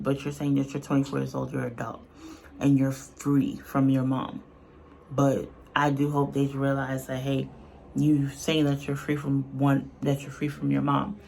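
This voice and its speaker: A woman's voice: rumbling low, vocal fry, a little mellow, slight background noise, low-quality recording. A woman giving casual, unscripted reaction commentary in a blunt, colloquial, earnest way. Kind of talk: casual, unscripted opinion talk.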